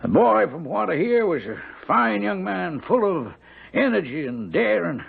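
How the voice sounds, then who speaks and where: Folksy male servant, gentle gossip, husky, animated, narrow tinny radio-drama recording. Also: high-pitched, a little slow, exaggerated voice. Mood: gossipy, wistful. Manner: folksy, rambling storytelling. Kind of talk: old-time radio drama acting.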